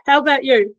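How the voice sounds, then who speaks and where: Woman co-host at home, high voice, cheery chat, close dry sound. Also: fairly bright tone, dry recording, dull audio. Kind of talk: casual interview chat.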